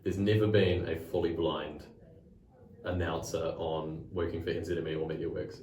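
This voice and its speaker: A young New man with a deep, dark voice conducts a podcast-style interview as a student. His words come from a little way off the mic in an echoey room. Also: little pitch movement, normal speed. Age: young adult. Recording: roomy echo, distant from mic.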